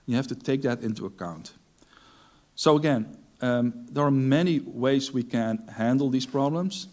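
A middle-aged man whose first language is Dutch gives a technical talk on a large conference stage, pausing often with ums and restarting sentences. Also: medium pitch, a little mellow. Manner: hesitant, many ums and restarts. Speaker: conference speaker.

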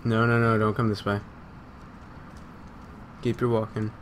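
A man's voice: on the low side, flat delivery, a little slow, slight background noise. A man giving casual gameplay commentary, muttering to himself, repeating phrases and swearing. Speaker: gamer recording a let's play.

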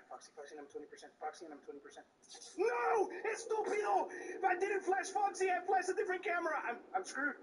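A man streaming a horror game, excitable and loud, swearing and mixing English with Spanish while losing his voice. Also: extremely high pitch, recorded from a distance, a little hiss or hum, poor audio. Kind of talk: live gaming commentary.

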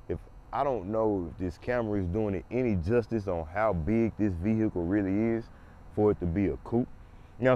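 A man with a hoarse voice from a cold, casually reviewing a car outdoors in a parking lot, talking straight to his viewers. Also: fairly low pitch, mellow, muffled recording, slight background noise. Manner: laid-back, talks straight to viewers. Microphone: handheld phone camera.

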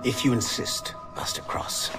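An actor playing a proud, obedient zealot in training, speaking with formal, arrogant restraint in a video game cutscene. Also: male voice, unhurried, over loud music, close to an everyday voice. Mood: haughty, guarded.

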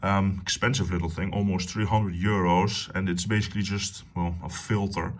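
A man with a Dutch accent and a fairly low voice walks through his living room with a phone camera. He talks eagerly, stumbling through restarts and fillers. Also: steady tone, moderate pace. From Netherlands. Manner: enthusiastic, with many fillers and restarts. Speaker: hifi enthusiast showing his system. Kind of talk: casual home tour vlog.

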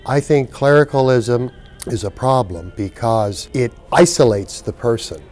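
An older man, a bishop, speaking in a measured, reflective way in an interview indoors. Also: medium pitch, a little mellow, faint music behind. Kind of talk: documentary interview. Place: indoor office with plants.

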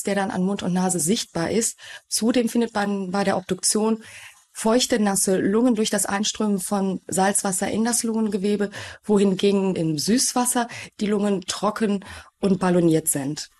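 A woman's voice: mid-range voice, steady tone, moderate pace, a little mellow. Woman explaining as a forensic expert. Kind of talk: expert explanation in documentary interview.

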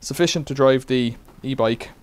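A man's voice: mid-range voice, normal speed, clear, resonant tone. A middle-aged man casually demonstrating a bike repair in a cluttered workshop, rambling with wry asides.

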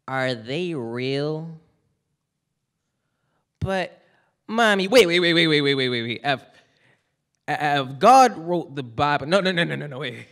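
A man's voice: a little high, expressive, slow pace, long pauses, a bit bright, voice pushed up, full character voice. Young man speaking as a questioning child. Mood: searching. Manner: rising, searching questions. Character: anxious child questioning its mother. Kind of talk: spoken word poetry performance. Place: stage of a lecture hall with an audience. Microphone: mic on a stand.

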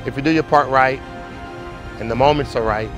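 A man's voice: medium pitch, fairly quick, music in the background. A man speaking reflectively in a documentary interview.

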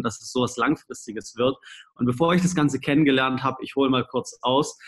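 A man of twenty-five with a cold-roughened voice, casually telling his life story on a home video call. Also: medium pitch. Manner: casual, lively storytelling with slang. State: hoarse from a cold. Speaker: guest speaker. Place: small room at home, indoors. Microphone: laptop webcam, video call.

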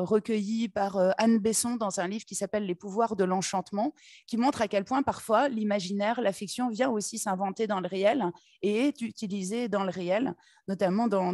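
A woman from Paris giving a conference talk at a table microphone, pausing often with fillers and restarting her phrases. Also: medium pitch, warm tone, dry recording, studio quality. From Paris, France. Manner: hesitant, many filler "euh" and restarts. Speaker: essayist and conference speaker. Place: conference room, seated at a table. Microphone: table microphone on a stand.

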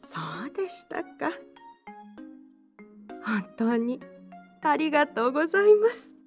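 An actress playing a gentle, grateful widow in a Japanese audio drama. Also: female voice, high-pitched, very animated, takes it slowly, faint music behind, heavily acted. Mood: moved, grateful. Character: grateful, gentle widowed woman disaster survivor.

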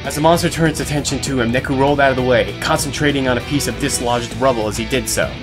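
A man reads scripted story narration in a medium-pitched voice. Plucked guitar music plays clearly behind him throughout. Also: quick pace.

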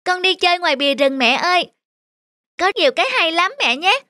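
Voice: very high-pitched, brisk, bright, forceful tone, no room sound, studio quality. An actor voicing an adventurous, boastful young gecko in a children's cartoon, chirpy and defiant. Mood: excited. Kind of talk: animated children's fairy tale acting.

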